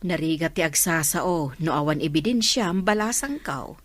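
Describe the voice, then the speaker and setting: A Filipino-accented woman playing a concerned older mother in a radio drama, questioning and gently admonishing her daughter. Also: low-pitched, lively intonation, moderate pace, no room sound.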